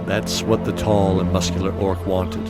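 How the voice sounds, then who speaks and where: Low-voiced man narrating fantasy fiction. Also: little pitch movement, normal speed. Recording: clear music bed behind voice.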